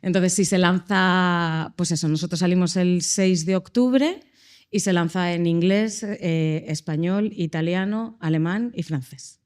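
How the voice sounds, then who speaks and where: A woman's voice: fairly low pitch, unhurried, a little mellow, clean tone. A woman who works as a production and casting lead, explaining a launch on a panel, fluent with some fillers. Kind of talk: panel answer.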